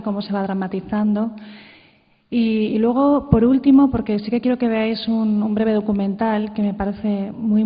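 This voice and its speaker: A woman from presenting informally at a conference, halting and conversational, often adding tag questions. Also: medium pitch. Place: large hall at a conference. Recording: muffled, reverberant hall sound.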